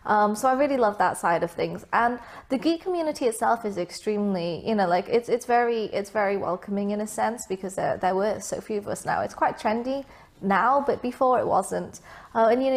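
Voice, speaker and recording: A young woman from Vietnam, raised abroad, answering interview questions in a chatty, casual way with many fillers and asides. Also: medium pitch.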